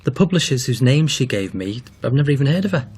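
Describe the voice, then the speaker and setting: An actor playing a suspicious, probing son in a naturalistic radio drama, questioning and sceptical in manner. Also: male voice, mid-range voice, sing-song, fast talker, a little mellow, husky.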